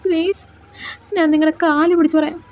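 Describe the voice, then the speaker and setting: A woman voicing a frightened young man held captive, who begs and panics in a high voice. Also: little pitch movement, fairly quick. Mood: pleading. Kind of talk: Malayalam fan audio drama. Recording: slightly dull lo-fi recording with faint music.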